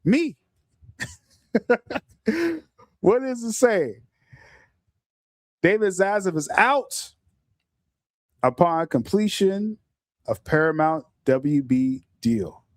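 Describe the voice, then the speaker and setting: A middle-aged man hosting a casual podcast livestream, talking in an animated way, stumbling over repeated words and often laughing. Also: high voice, very slow, long pauses, no room sound, studio quality. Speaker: podcast host.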